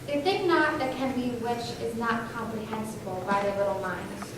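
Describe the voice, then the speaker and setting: High-voiced woman reciting in echoey hall. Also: normal speed. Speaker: readers theater performer. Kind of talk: scripted stage recitation. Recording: echoey hall, distant mic, noisy.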